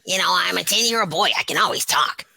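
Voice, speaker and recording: A woman voicing a chatty, playful ten-year-old boy character with a strained, raspy voice. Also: fairly low pitch, lively intonation, fast talker, sharp tone, no room sound, voice pushed up, full character voice.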